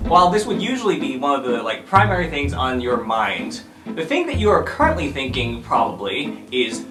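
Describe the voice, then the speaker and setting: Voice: mid-range voice, big pitch swings, normal speed. A game master narrating an improvised superhero tabletop game and putting questions to the players. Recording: roomy, distant mic, music behind.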